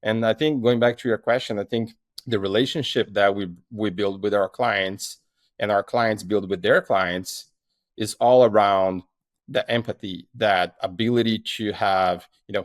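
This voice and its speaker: A man's voice: fairly low pitch, fairly even intonation, moderate pace, no room sound. A man with a Brazilian accent, a guest founder talking in a casual podcast interview, rambling with lots of fillers and restarts.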